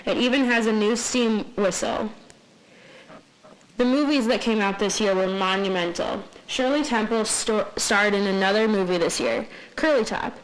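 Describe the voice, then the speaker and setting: A young woman with a medium-pitched voice reads a prepared radio segment aloud. She speaks as the presenter, addressing listeners. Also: normal speed, slight background noise, rough recording. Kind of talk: scripted radio report, read aloud.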